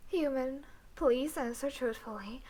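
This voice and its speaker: A woman playing a cool, clinical female alien doctor who teases her human captive in a roleplay audio drama, speaking in a deadpan, halting way. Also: high voice. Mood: teasing.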